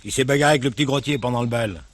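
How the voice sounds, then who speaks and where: A man's voice: medium pitch, rapid-fire, lightly acted. An actor playing a plain-spoken, deferential village man in a French television drama, blunt and colloquial. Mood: earnest, blunt.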